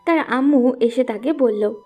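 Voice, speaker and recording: Woman narrator, highish pitch, very mellow dark tone, scripted storytelling. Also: measured pace, faint music behind.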